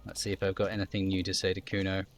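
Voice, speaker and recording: Guy streaming a game, lowish voice, narrates the text and comments to himself, bit sweary. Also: completely flat delivery, very fast. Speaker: streamer reading a game aloud. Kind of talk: live game narration with casual asides.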